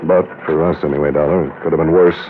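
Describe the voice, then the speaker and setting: An actor playing a steady, no-nonsense state police captain in an old radio drama, plain-spoken and brisk. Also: male voice, extremely low pitch. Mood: steady, sober. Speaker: supporting actor. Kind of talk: 1940s-50s radio drama acting. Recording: tinny, noisy old radio recording.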